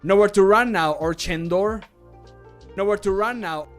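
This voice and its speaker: A man recording voice lines for a male fantasy game character, shouting the combat lines. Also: high voice, measured pace, faint music behind, pitched above the performer's own voice.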